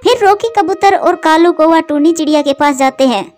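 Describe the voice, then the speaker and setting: A woman's voice: very high-pitched, normal speed, darkish tone, soft background music. A woman reading scripted narration for a children's bird story as its narrator.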